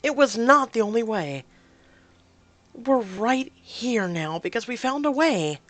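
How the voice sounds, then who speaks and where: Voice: mid-range voice, expressive, normal speed, creaky, a bit bright, dry recording, soft background music, voice pushed up. A narrator voicing a furious, hurt young woman, indignant and emphatic, in an audio drama. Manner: indignant, emphatic, emotionally volatile.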